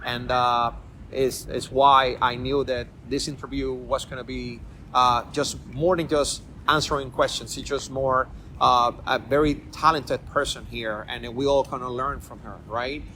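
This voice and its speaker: A middle-aged man hosts a relaxed interview podcast. His voice sits at an ordinary pitch, and he loops back over his own words as he talks. A soft hum of background noise lingers underneath. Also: measured pace, low-quality recording. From Puerto Rico. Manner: rambling, repeats words and restarts often. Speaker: podcast host. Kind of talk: casual interview chat.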